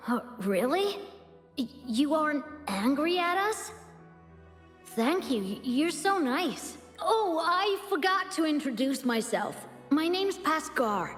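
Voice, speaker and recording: A woman voicing a friendly, excitable young girl who leads a little gang, in an anime-style video game. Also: high-pitched, slow, full character voice. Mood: friendly, eager. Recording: long reverb tail, music underneath.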